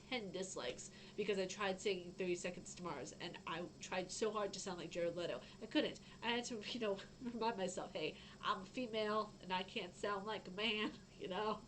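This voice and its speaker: Chatty low-voiced woman streamer. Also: fairly quick, hardly any pauses, a little hiss or hum, rough recording. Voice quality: fairly low-sounding voice. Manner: chatty, rambling, frequent fillers and self-interruptions. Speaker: gaming news streamer. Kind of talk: casual live-stream chat.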